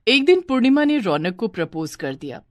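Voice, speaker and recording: A woman's voice: a little high, sing-song, normal speed, noisy background. A woman reading a scripted moral bedtime story as a storyteller.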